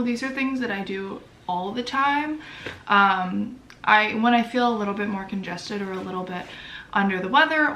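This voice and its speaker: A woman's voice: mid-range voice, fairly bright tone, a little hiss or hum. Young woman, stuffy nose, chatty vlogger, echoey room, mic a bit distant. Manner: chatty, self-deprecating, many fillers. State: congested, sniffly. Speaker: lifestyle vlogger. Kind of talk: casual chat to camera.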